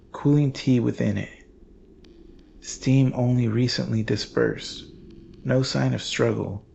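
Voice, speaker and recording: Slow, gravelly man narrating horror. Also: medium pitch, a little mellow, dull audio, slight background noise. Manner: solemn, measured, portentous, with dramatic pauses. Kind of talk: scripted horror story narration.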